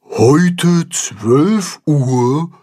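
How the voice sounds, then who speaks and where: A storyteller doing pompous old carp, slow, low, husky, distant. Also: lively intonation, mellow, heavily acted. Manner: slow, ponderous.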